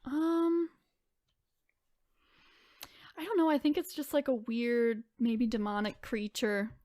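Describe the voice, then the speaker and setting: A woman's voice: on the high side, steady tone, takes it slowly, a little mellow, no room sound, studio quality. A woman co-hosting a podcast in casual chat, informal and chatty, sometimes reading out descriptions with asides. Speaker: podcast co-host.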